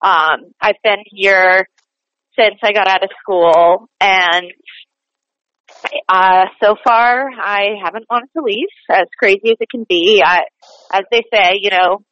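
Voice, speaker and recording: A woman's voice: mid-range voice, slow, no echo. A young woman from Boston, a stage performer, chatting as an interview guest with easy laughs and plenty of fillers. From Boston, USA. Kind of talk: casual interview chat. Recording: dry, slightly dull podcast audio.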